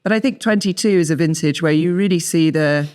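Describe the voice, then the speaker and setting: Woman, 30s, southern English accent. Interview guest and expert; crisp, clean-toned, conversational delivery. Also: sits low, fairly quick, darkish tone. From southern England. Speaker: wine expert and writer, guest.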